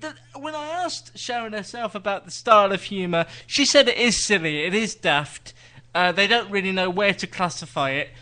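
A man co-hosting a TV podcast, talking through new shows in easy chat with dry, teasing humor. His voice sits high for a man and sounds bright and forward. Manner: chatty, dry teasing asides. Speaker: podcast co-host, TV reviewer.

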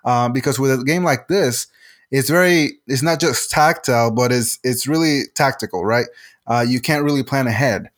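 Puerto Rican game designer, halting speech. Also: male voice, medium pitch. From Puerto Rico. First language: Spanish. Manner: halting, many restarts and fillers. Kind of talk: casual interview answers.

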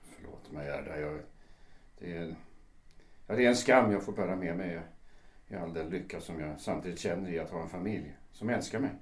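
An actor playing an ashamed, defensive lawyer in his late fifties in a radio drama, speaking formally and haltingly. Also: low-pitched, close to an everyday voice. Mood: remorseful, pleading. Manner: halting, formal, old-fashioned phrasing. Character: ashamed, hesitant 58-year-old lawyer, defensive brother. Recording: roomy, echoing interior.

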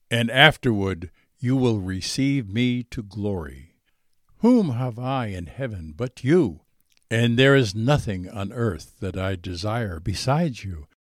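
A man reading a psalm from the Bible aloud in an expressive, dramatic style. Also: lowish voice, dry recording, studio quality. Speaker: scripture reader.

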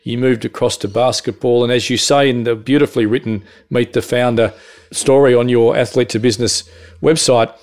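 A man hosting a podcast interview, asking long, measured, wordy questions. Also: medium pitch, steady tone, a little mellow.

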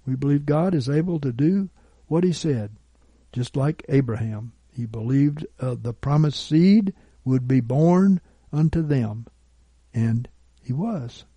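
A male Bible teacher gives an informal sermon that moves into prayer. His voice is mellow and rough-edged, with very little room sound. He is earnest and plain-spoken. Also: mid-range voice, expressive, a little slow, dry recording, highs cut off.

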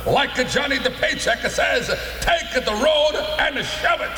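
A bombastic Italian opera star for a comedy record, booming in broken English and quick to blow up. The voice sits very high and rings bright and sharp. It carries a roomy studio echo with a long tail. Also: male voice, lively intonation, soft background music, exaggerated voice. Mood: furious. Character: pompous excitable male Italian opera star. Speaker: comic character in a studio sketch.

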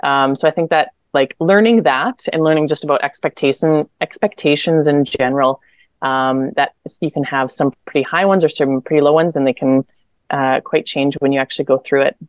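Young woman from manitoba, guest on a podcast, low voice, um-ing a lot, dry close sound. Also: brisk, no echo. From Manitoba, Canada. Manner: chatty, many fillers and restarts. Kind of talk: casual interview chat.

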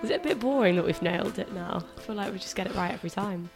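A woman's voice: mid-range voice, very fast, soft background music. A young woman co-hosting a casual podcast, congested with a cold, chatty and teasing, a personal trainer. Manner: chatty, teasing, laughs often. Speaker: podcast co-host. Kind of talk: casual chat.

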